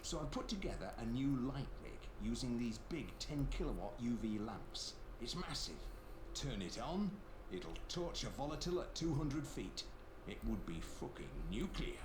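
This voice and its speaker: A man's voice: medium pitch, natural voice. An actor playing a weary, frustrated male survivor who swears bluntly. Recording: roomy game audio with background noise.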